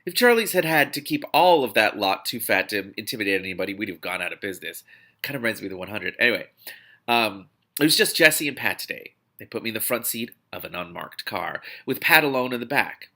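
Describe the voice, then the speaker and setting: A man reading a novel aloud expressively as host, animated and given to breaking off with excited reactions. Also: lowish voice, fast talker. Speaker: host of a read-aloud book series.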